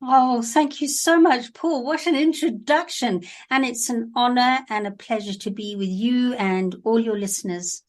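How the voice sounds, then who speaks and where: A woman from Kenya with a Kenyan colonial English accent, a gracious podcast guest speaking from her home studio. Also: a little high. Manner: gracious, measured, some hesitant fillers. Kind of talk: interview conversation.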